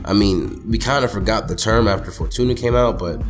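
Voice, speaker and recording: A young man with a lowish voice, a bit hoarse from being sick, talking in a casual, slangy, joking way about games. Music plays behind him. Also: fairly quick. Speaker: gaming YouTuber. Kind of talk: casual commentary video. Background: background music.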